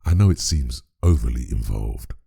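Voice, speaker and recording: Very deep gravelly weary priest. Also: male voice, lively intonation, moderate pace, dark tone, full character voice. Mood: weary, concerned. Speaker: voice actor. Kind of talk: audio drama acting.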